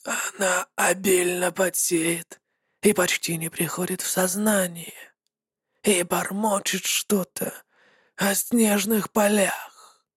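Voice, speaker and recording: A woman voicing a sly, scheming male warlock mercenary who talks smoothly and mockingly. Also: on the low side, steady tone, takes it slowly, no room sound, clean studio sound. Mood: calm.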